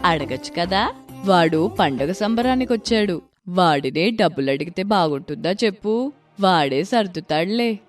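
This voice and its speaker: A woman's voice: on the low side, animated, measured pace, faint music behind. A woman voicing a helpful village relative, familiar and questioning, in a moral story. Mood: concerned.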